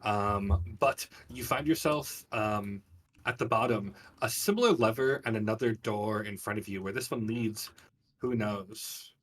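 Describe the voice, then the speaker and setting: A man's voice: fairly low pitch, a little slow. A man runs a tabletop fantasy game as its narrator. He describes scenes richly and theatrically. The microphone sounds some distance from him. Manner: descriptive storytelling with dramatic detail. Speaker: game master. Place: live online game stream.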